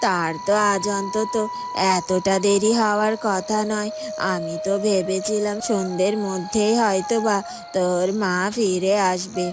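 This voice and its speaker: A woman playing a caring, sensible village foster mother in a cartoon, speaking in a measured way. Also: mid-range voice, steady tone, over music, close to an everyday voice. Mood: concerned. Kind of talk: Bengali cartoon voice acting.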